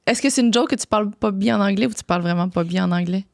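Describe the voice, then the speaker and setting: A woman hosting a podcast, chatting in a relaxed, giggly way and reacting quickly to her guest. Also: medium pitch, gravelly. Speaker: podcast host. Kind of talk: casual conversational interview.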